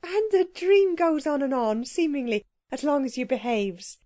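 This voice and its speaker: A woman's voice: sits high, very animated, normal speed, warm tone, no echo, clean studio sound, voice pushed up. A woman voicing a bold, chatty working-class cook who has become an island queen, talking in common dialect.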